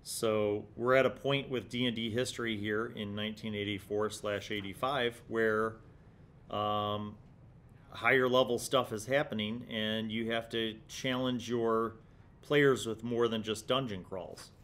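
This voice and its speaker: A middle-aged man hosting a tabletop gaming retrospective, talking casually and reflectively, explaining things in halting phrases with repeated words, into a studio microphone. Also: lowish voice, steady tone, fairly bright tone. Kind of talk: casual commentary on old gaming magazines. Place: small indoor studio room. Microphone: large desktop studio microphone.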